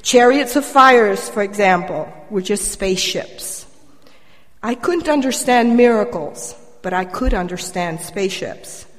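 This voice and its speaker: An older woman from Colombia giving a prepared personal testimony to a church congregation, measured and wryly humorous. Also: medium pitch, animated. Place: church, addressing congregation through a microphone. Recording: reverberant hall.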